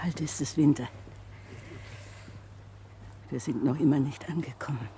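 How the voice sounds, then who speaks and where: A woman's voice: low voice, unhurried, mellow. An actress playing a weary, plain-spoken female convict in a 1960s German radio drama, speaking matter-of-factly. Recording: hissy old 1960s radio recording.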